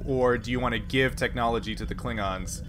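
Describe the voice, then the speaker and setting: A man serving as game master who narrates the scene in a relaxed, explaining way and laughs easily. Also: mid-range voice, brisk, noisy background.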